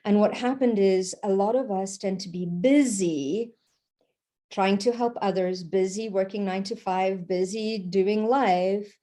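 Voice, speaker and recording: A woman, her warm, mellow voice rambling loosely through a spiritual talk. She keeps checking in with a questioning "okay?" and lets fillers and restarts slip in as she thinks aloud. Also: medium pitch, measured pace. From Portugal. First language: Portuguese. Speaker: Reiki practitioner.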